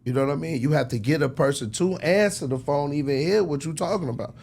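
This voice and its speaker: A man's voice: fairly high pitch, quick pace, creaky, darkish tone. A man speaking as a record executive being interviewed, casual and passionate, making his case emphatically with lots of slang and repetition. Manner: emphatic, persuasive, slangy, repeats himself. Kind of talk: casual, passionate shop talk.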